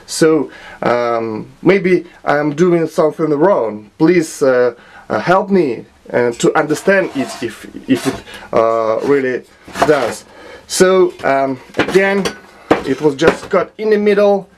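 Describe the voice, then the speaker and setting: A man with a Russian accent explaining his own restoration work in a small home workshop, speaking haltingly with many filler sounds and restarts. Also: mid-range voice. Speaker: hobbyist restorer. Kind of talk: hesitant show-and-tell narration.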